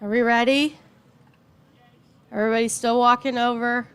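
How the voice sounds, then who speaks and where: A woman with a high voice announcing a raffle to a gathered crowd, playful and teasing as she reads out ticket numbers slowly. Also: little pitch movement, fairly bright tone, clear, resonant tone. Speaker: raffle announcer at a race event.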